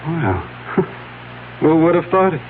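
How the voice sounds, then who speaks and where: An actor playing a suspicious, blunt district attorney in a radio mystery, probing and sardonic. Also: male voice, fairly high pitch, very animated, takes it slowly. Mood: stern, sardonic. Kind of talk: radio mystery drama acting. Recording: tinny, hissy old radio broadcast.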